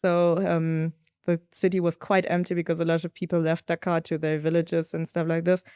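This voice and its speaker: A young woman from Germany, a podcast guest, telling travel stories in a thoughtful, lively way. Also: lowish voice, fairly quick, smooth, no echo. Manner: thoughtful storytelling, lively when quoting others. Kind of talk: casual conversational interview.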